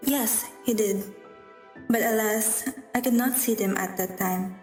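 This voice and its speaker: A woman's voice: fairly high pitch, steady tone, unhurried, natural voice. A woman playing a proud, haughty woman in an amateur radio drama, with a theatrical, emphatic delivery. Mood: wistful storytelling. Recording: distant, echoey room, faint music.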